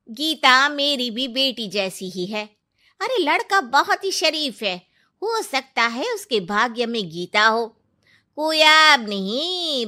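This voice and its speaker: Woman acting a shrill, bright, coaxing auntie. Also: heavily acted. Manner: coaxing, insistent. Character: persuasive, meddling middle-aged neighbourhood auntie matchmaker. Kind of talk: Hindi cartoon dubbing.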